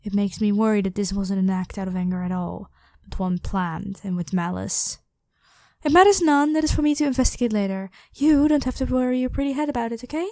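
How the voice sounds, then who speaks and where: A woman's voice: medium pitch, fairly quick, warm tone, natural voice. A woman voicing a devoted, protective royal knight, head of the guard, with formal, deferential speech in a scripted ASMR roleplay. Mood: concerned. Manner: formal, deferential address to a monarch.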